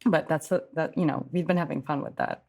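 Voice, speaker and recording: Low-voiced woman, reflective and thorough. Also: huge pitch swings, fairly quick, creaky, darkish tone. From California. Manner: reflective, explains at length. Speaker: actor guest. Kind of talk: interview answers.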